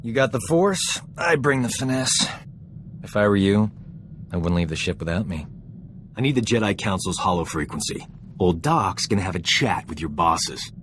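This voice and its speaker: Game role: wisecracking rogue medic, lowish voice, boastful and breezy. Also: male voice, big pitch swings, normal speed, fairly bright tone, slight background noise. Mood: cocky, teasing. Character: cocky fun-loving male ship's doctor, wisecracking rogue. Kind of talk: video game dialogue acting.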